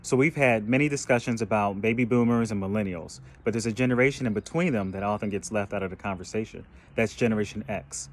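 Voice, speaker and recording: A man's voice: fairly low pitch, fast talker, few pauses, a little hiss or hum. A man in his late thirties hosting a panel discussion in a conversational way. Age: late 30s. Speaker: host of a generational discussion show.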